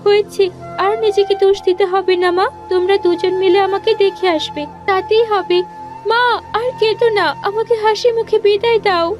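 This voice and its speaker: A woman's voice: very high voice, steady tone, moderate pace, a little mellow. A woman voicing a brave teenage princess who speaks reassuringly in a fairy-tale cartoon. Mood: consoling. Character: brave, consoling sixteen-year-old princess. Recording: music behind the voice. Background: music.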